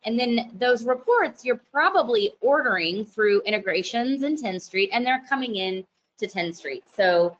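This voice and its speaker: A woman with a loud voice at a middle pitch walks listeners through legal rules in a conversational webinar. She explains patiently and corrects herself as she goes. The audio sounds a little dull, as from an online meeting. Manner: conversational and explanatory, frequent fillers and self-corrections. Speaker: company lawyer presenting a compliance webinar. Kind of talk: webinar presentation. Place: office at company headquarters, heavy rain outside.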